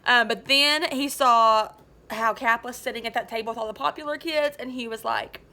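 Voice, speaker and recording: A woman reading a children's novel aloud as a teacher, in an animated, dramatic and informal way. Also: fairly high pitch, moderate pace, a little hiss or hum. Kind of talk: expressive children's book read-aloud.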